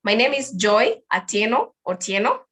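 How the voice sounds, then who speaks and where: A woman's voice: fairly high pitch, big pitch swings, normal speed, fairly bright tone. A woman from Kenya introduces herself as a panelist at an online forum. She speaks from her phone on a video call, sounding eager and upbeat, with frequent ums and uhs. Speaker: panelist, digital activist.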